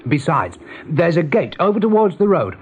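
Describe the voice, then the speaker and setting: High-voiced, sensible young rabbit. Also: male voice, very animated, muffled recording. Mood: dismissive. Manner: brisk, reasoning, reassuring. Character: young male rabbit, sensible protective companion, sceptical. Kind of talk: radio drama acting. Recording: noisy old radio play recording.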